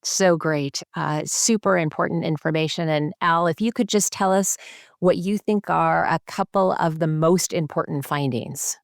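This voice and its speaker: A woman hosts a medical podcast interview in a low-pitched voice. There is plenty of fry in it, and the sound is close and dry. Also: normal speed, dry recording. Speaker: podcast host, medical journal editor.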